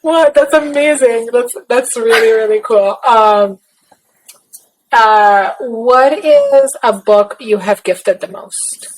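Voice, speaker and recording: A woman hosting a podcast interview over a video call, upbeat and quick with affirmations. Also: a little high, low-quality recording. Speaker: podcast host.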